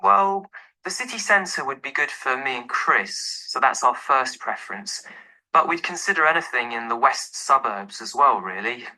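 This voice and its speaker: Actor as bright-voiced young man, casual. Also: mid-range voice, lively intonation, moderate pace, rough recording. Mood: casual, friendly. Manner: casual, informal. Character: easygoing young male professional phoning to rent a flat. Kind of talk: acted phone dialogue for a listening test.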